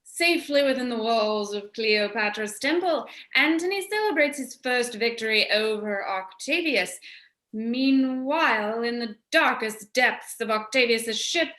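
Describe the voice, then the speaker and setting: A woman's voice: on the high side, lively intonation, unhurried, pushed and bright. A woman giving scripted, dramatic narration for a radio play, recorded in a roomy space. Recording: roomy, echoey sound.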